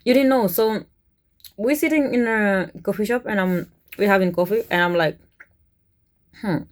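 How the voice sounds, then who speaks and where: A woman from Kenya with a Kenyan accent, telling a personal story to camera in a casual, animated way. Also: medium pitch, moderate pace. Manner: animated, chuckles often, conversational. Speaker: vlogger, cruise ship worker.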